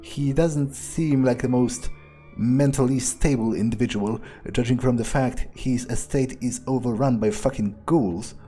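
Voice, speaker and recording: Slow, mellow man musing in character. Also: medium pitch, slightly rough, soft background music. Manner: sardonic, hesitant musing, casual swearing. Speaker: gamer playing in character. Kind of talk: in-character video game playthrough, musing aloud.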